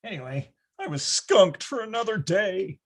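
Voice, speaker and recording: A man's voice: high voice, very animated, moderate pace, heavily acted. A man playing a grown-up storyteller who wryly recalls his boyhood in a mock-grand, theatrical manner for a readers theatre. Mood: exasperated.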